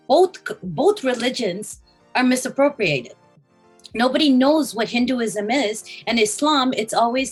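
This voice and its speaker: A woman's voice: on the high side, slightly rough, poor audio. A woman interviewed as a designer, answering at length with fillers and runs of lists. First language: English first language. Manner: listing, thinking aloud with fillers, occasional coughs.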